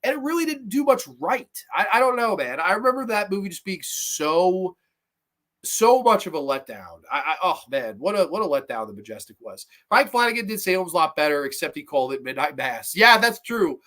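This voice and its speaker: Congested, bright-voiced young man reviewing movies. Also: high-pitched, big pitch swings, fairly quick. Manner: rambling, opinionated, swears casually, reads chat comments aloud. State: congested with a slight cough. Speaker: host of a horror movie review livestream. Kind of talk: casual livestream review chat.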